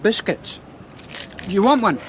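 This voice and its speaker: A man's voice: high voice, sing-song, a little slow. An actor playing a hapless, plain-spoken junior police constable who moans his way through a radio crime drama. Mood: grumbling. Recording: noisy outdoor-scene radio drama recording.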